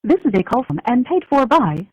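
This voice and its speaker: A woman's voice: fairly high pitch, sing-song, a little fast. A woman delivering a scripted automated announcement over a jail telephone system. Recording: tinny, dull recorded phone announcement.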